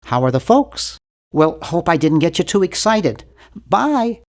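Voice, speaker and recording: A man's voice: fairly high pitch, very animated, measured pace. A man playing an earnest, awkward, nerdy young cartoon hero in an adventure game, with a nasal, geeky, carefully worded delivery. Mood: chatty, awkward. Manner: geeky, over-articulate, earnest. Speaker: video game voice actor. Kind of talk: animated adventure game character lines.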